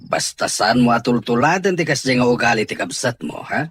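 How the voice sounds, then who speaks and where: A man plays an exasperated, anxious father with an Ilocano accent. The voice is husky and varies widely in pitch, in podcast comedy-drama style. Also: expressive, brisk, a bit bright. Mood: worried.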